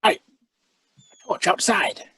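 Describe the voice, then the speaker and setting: A woman playing an eccentric, shrewd time traveller in a radio sci-fi serial, husky, musing aloud and repeating phrases. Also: slow pace, bright, forceful tone. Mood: urgent.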